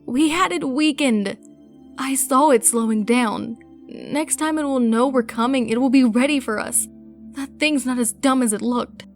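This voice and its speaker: A woman's voice: sits high, measured pace, soft background music. A woman playing a tough, impatient monster hunter in a fantasy audio drama, blunt, casual and wry. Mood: frustrated.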